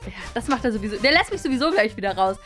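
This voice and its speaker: A woman's voice: on the high side, animated, rapid-fire, fairly bright tone, faint music behind. A young woman chatting casually to camera as a vlog host, thinking aloud in a colloquial way. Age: young adult. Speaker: video channel host. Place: small furnished studio room, indoors. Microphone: camera at close range.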